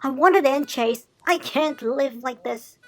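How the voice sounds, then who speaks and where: A woman voicing a traumatized young male Dalmatian pup in an emotional cartoon fan drama, halting and anguished. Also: sits high, close to an everyday voice. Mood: broken, despairing. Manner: anguished, halting, swinging between hushed and loud.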